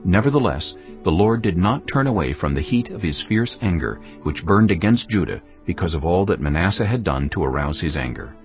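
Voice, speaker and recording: Deep, expressive male Bible narrator. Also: fairly quick, hardly any pauses. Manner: grave, expressive storytelling. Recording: dull lo-fi with music underneath.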